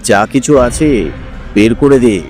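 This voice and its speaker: A menacing highway bandit, acted by a male narrator in a horror audio story. He drops low and drags his words out, jeering and commanding, with music underneath. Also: slow, darkish tone, natural voice. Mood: threatening. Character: menacing masked bandit, mocking and threatening.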